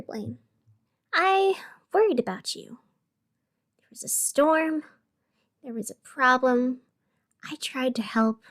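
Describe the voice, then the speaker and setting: An actress playing a wry, wounded young radio host in a scripted audio drama, sardonic and theatrical with deliberate pauses. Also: female voice, high voice, a bit bright. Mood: tense, curt. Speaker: fictional radio show host.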